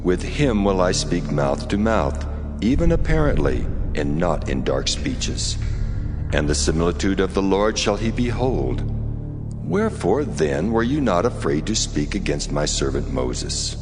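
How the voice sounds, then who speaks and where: An actor playing God as solemn, mighty and stern in a dramatized scripture recording, declaiming slowly and gravely. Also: male voice, low-pitched, sing-song. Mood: solemn rebuke. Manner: slow, grave, authoritative declaiming. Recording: long cavernous reverb, music underneath. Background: music.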